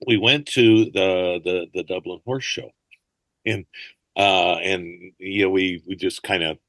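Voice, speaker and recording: A man's voice: sits low, fairly even intonation, unhurried, a bit bright, no room sound, low-quality recording. An older man chatting as a podcast guest, telling rambling stories and dropping into accent impressions now and then. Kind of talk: casual interview chat.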